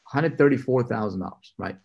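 A man's voice: mid-range voice, fairly quick, gravelly, husky, dull audio. Enthusiastic man interviewing over video call. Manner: energetic, enthusiastic, rambling with restarts. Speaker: interviewer, mentor. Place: indoor room with city view window.

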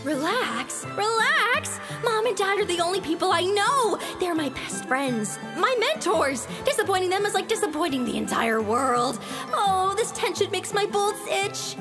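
A woman playing an excitable, dramatic teenage monster girl in an audio drama, with a gushing manner. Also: very high-pitched, a little slow, few pauses, full character voice. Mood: distressed. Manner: gushing, dramatic, breathless lists. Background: music.

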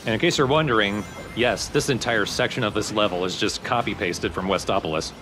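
A man's voice: on the low side, brisk, music in the background. A man hosting a video game let's play with casual, joking commentary as he plays. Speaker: let's play host and player.

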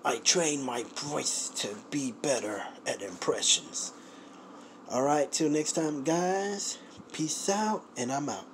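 A man's voice: on the high side, expressive, slow, a little hiss or hum. A young man chatting casually to viewers as a vlogger, recorded on a phone at arm's length in a kitchen. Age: young adult. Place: small home kitchen.

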